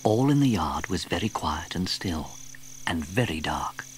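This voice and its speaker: A man narrating a children's story from a script in an expressive storytelling manner. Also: sits low, measured pace, background noise. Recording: vintage cassette tape. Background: music.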